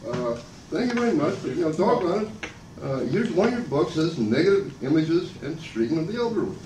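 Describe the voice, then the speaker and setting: An older man speaking loudly and abrasively in a rambling public comment at a meeting. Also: very animated, fairly quick. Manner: loud and booming, abrasive, rambling and aggrieved. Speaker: member of the public giving comment. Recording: echoey room from far off, noisy.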